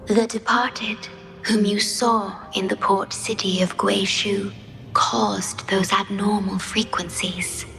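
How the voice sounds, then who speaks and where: An actress playing a serene, mysterious guardian in a video game, speaking softly and formally in a measured, gentle, devoted way. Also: female voice, mid-range voice, level tone, gravelly, soft background music, low-quality recording. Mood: gentle, solemn. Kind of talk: scripted video game voice acting.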